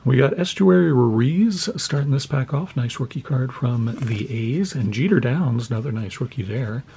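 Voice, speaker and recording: A man from the United States, slightly hoarse, opening trading cards at his desk at home and narrating each pull with deadpan mock horror. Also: mid-range voice, moderate pace, slight background noise. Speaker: card-opening channel host. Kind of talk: mock-scared card-opening commentary. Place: home desk with Halloween decor.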